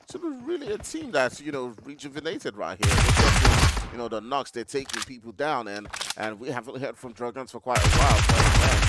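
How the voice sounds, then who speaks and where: A man with an African English accent giving live play-by-play commentary on an esports match, with steady fillers as he calls the action. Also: medium pitch, heavy background noise. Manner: rapid excited calls with filler "you know". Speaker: esports caster. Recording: game gunfire and vehicle sounds behind.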